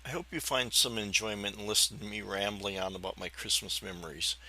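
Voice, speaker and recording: A man's voice: on the low side, fairly even intonation, quick pace, pushed and bright, poor audio. An American man around fifty with a slight whistling lisp, telling personal memories in a folksy, rambling, hesitant way as a podcast host. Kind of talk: reminiscing personal storytelling.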